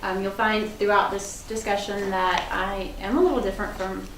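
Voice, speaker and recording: A woman candidate gives an earnest, personal opening statement at a forum. She sounds set back from the mic in a roomy space. Steady background noise runs underneath. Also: medium pitch, normal speed. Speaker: political candidate. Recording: roomy hall, distant mic, noisy.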